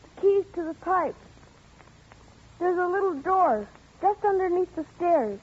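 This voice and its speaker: A woman's voice: animated, a little slow. A woman voicing a frightened, grieving young boy in an old-time radio drama, pleading and accusing. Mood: uneasy. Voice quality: high childlike voice. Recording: dull lo-fi radio recording.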